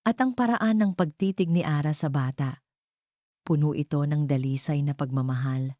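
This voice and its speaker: Low, even-voiced Filipino woman storyteller. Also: normal speed, no room sound, studio quality. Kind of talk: scripted dramatic story narration.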